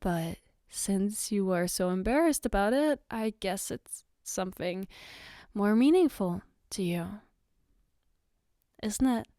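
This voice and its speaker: A woman playing a caring, flustered girlfriend in an ASMR roleplay, speaking gently and haltingly to comfort her partner. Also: medium pitch, lively intonation, no room sound, clean studio sound. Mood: soft, coaxing. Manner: hesitant, gentle, reassuring, halting. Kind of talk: ASMR roleplay, spoken to the listener.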